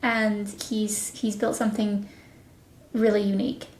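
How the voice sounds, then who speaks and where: A woman speaking earnestly and casually as an interviewed producer, in a measured way. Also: medium pitch, level tone, darkish tone. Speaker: executive producer being interviewed. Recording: roomy echo.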